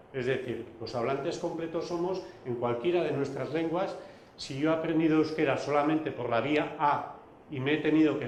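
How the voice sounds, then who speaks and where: A man whose first language is Basque, giving a recorded video lecture, pausing often with fillers and restarts. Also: mid-range voice, reverberant, slight background noise, poor audio. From Basque Country. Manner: hesitant, many fillers and restarts.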